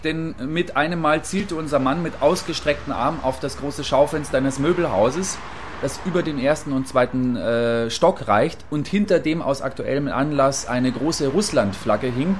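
A man playing an earnest field reporter in a radio play, giving wordy, factual and deliberate live reports. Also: a little high, a little hiss or hum, lightly acted. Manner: factual, deliberate, long-winded.

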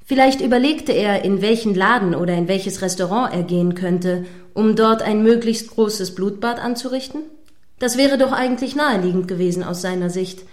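A woman's voice: mid-range voice, natural voice. Woman playing an earnest, probing radio news anchor. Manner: formal, measured, insistent questioning. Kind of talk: satirical radio play as live news broadcast.

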